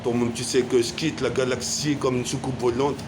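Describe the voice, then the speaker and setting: A man's voice: mid-range voice, flat delivery, a little fast, noisy background. A French man in his forties improvising a freestyle rap in a rhythmic, rhyming flow, half-singing some lines. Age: 40s. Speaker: freestyle rapper. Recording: rap over loud backing music.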